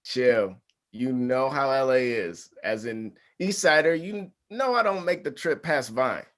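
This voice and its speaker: Groom, male, sincere and hesitant, animated intonation, read by an actor at a table read. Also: mid-range voice, unhurried. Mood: pleased. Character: hesitant, agreeable groom, quietly unsure.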